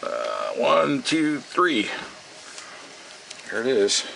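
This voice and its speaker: A man giving a casual, unhurried repair demonstration, thinking aloud in a folksy way, recorded on a camera microphone in his shop. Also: mid-range voice, vocal fry. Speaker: radio repair vlogger. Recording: steady noisy camera sound in workshop.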